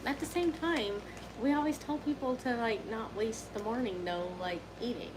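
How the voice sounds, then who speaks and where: A woman's voice: fairly high pitch, moderate pace. A woman co-hosting a live question-and-answer stream, chiming in casually with side remarks. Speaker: co-host. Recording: noticeable background noise.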